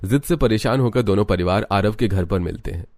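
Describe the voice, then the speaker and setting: A man's voice: fairly low pitch, fairly quick, a little mellow. A man reading scripted narration for a story. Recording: music under the voice. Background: background music.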